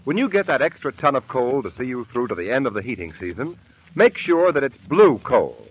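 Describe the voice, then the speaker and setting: A man's voice: mid-range voice, big pitch swings, fairly quick, slightly rough. A man serving as announcer, delivering a commercial and narration in a formal, emphatic old-time radio manner. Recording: tinny old radio broadcast with music.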